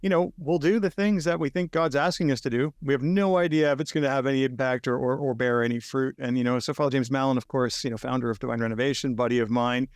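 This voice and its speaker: A male podcast co-host with a gravelly voice talks in loose, rambling sentences. The sound is dry and close, as on a video call. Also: mid-range voice, no room sound. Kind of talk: casual conversation over video call.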